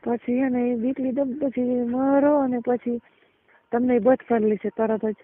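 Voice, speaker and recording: A woman's voice: a little high, steady tone, measured pace. A woman with a Gujarati accent chatting casually and colloquially, explaining things and often checking that the listener follows. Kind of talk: casual private phone chat. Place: recorded phone call. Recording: muffled narrowband phone call recording.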